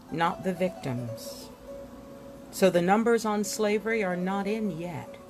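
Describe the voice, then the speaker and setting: Expressive woman reading aloud, very lively pitch. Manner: dramatic, deliberate pauses and emphasis. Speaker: reader presenting an illustrated history book. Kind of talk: expressive read-aloud narration with asides.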